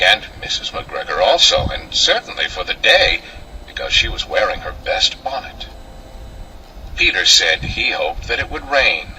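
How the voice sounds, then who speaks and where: A man narrating a children's storybook as an expressive storyteller. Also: on the low side, distant mic, slight background noise, poor audio. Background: music.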